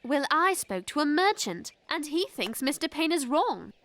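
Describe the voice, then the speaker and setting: A woman playing an earnest, prim young English girl reporter in an animated series, speaking formally with an English accent. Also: high voice, normal speed, no room sound, full character voice. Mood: prim, inquisitive. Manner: proper, formal, indignant.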